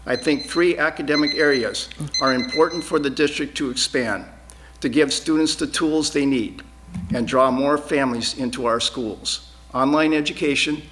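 A man delivering a formal, prepared candidate statement in a measured, scripted way. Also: mid-range voice, fairly bright tone, low-quality recording. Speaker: school board candidate. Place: TV studio.

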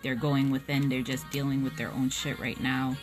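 Young woman, low voice, casual swearing tarot reader talking close to a phone at home, music bed behind. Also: little pitch movement, moderate pace. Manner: frank, blunt and swearing, with frequent "um" and "like". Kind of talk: casual spoken tarot reading. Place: small indoor room at home. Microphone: phone camera at close range.

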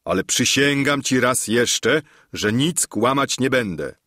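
A man voicing a deluded, solemn old knight-errant who speaks in a grave, lofty, measured way. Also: medium pitch, lively intonation, a bit bright, no room sound, clean studio sound, pitched above the performer's own voice.

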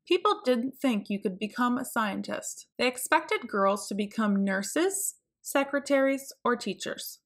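A woman reading a picture book aloud for children, with clear, expressive phrasing. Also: mid-range voice. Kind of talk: read-aloud storybook narration.

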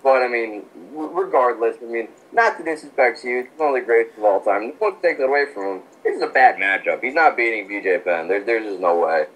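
A man co-hosting a casual podcast who talks fast and states his views bluntly. His pitch sits in the middle range, and he rattles through his points with confidence. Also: lively intonation, a bit bright, rough recording. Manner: quick, blunt and decisive opinions. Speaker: podcast co-host. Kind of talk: casual sports chat.

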